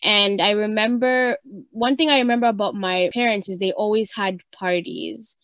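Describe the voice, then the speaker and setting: A woman's voice: medium pitch, fairly even intonation, moderate pace, fairly bright tone, dry recording. Encouraging woman podcast host, dry sound. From Uganda. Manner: encouraging, frequent affirmations. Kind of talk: conversational podcast chat.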